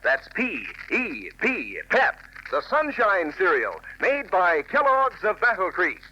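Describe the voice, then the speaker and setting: A man's voice: high-pitched, wildly swooping pitch, takes it slowly, bright, forceful tone, soft background music. An American man delivering a 1940s radio commercial, folksy and enthusiastic, as if talking to children. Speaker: radio announcer reading a sponsor ad. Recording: dull, lightly noisy 1940s radio recording.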